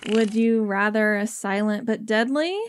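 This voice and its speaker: A woman's voice: medium pitch, unhurried, background noise, natural voice. A woman acting a murderous alien posing as a conference presenter in an audio drama, sweetly officious and taunting. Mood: mocking.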